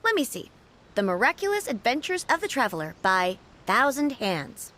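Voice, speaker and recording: A woman's voice: fairly high pitch, sing-song, moderate pace, pushed and bright. A woman voicing a polite, upbeat young clerk running a writing contest in a video game.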